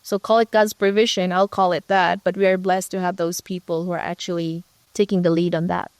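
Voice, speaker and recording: A woman's voice: fairly low pitch, little pitch movement, brisk, no room sound. A woman from the Philippines, a ministry co-leader interviewed as a guest, telling her story in a reflective way. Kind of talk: conversational interview.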